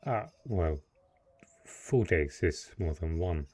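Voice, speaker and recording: A man acts out a dark knight, lord of a lonely castle, in a fantasy romance roleplay. His voice is very low, dark and husky. He speaks formally and earnestly, with a possessive streak and a hesitant delivery. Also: animated, slow pace, exaggerated voice. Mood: brooding, jealous.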